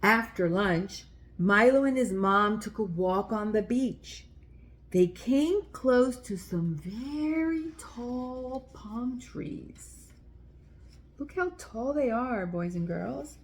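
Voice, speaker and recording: A woman's voice: fairly high pitch, lively intonation, slow. A woman hosting a children's story time, reading aloud and speaking directly to young listeners in simple, explanatory language.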